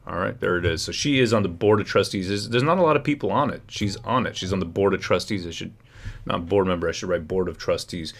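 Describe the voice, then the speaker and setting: A man with a low voice hosts a live commentary stream and presses his points hard to the audience. He sounds close to the mic. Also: fast, vocal fry. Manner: emphatic, talks straight to viewers. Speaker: livestream host. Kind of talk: political commentary livestream.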